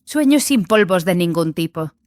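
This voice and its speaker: A woman's voice: fairly low pitch, lively intonation, moderate pace, a little mellow, dry recording, clean studio sound, lightly acted. A woman playing a proud, earnest young female apprentice in an audiobook, precise and slightly hesitant. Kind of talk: dramatized audiobook acting.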